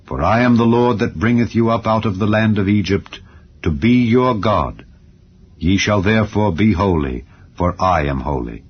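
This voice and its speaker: Deep-voiced man solemnly reading scripture. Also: slightly rough. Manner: solemn, measured recitation. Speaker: Bible reader. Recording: dull audio under soft music. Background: faint background music.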